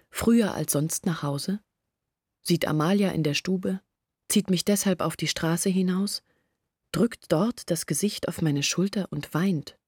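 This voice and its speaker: A breathy confiding village woman. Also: sits low, darkish tone, dry recording, studio quality. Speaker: Olga, a village woman telling her family's story. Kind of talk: German radio play monologue.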